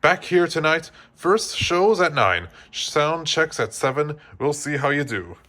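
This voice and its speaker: A man's voice: on the high side, very animated, unhurried, pushed and bright, voice pushed up, exaggerated voice. A man voicing a brusque, commanding female jazz bandleader in a storybook, with clipped phrasing. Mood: cool, commanding.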